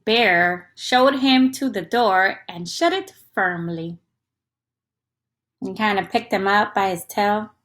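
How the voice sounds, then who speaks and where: A woman's voice: medium pitch, slow, a bit bright. A woman reading a picture book aloud to young children in an interactive, teacherly way, often putting questions to the listener.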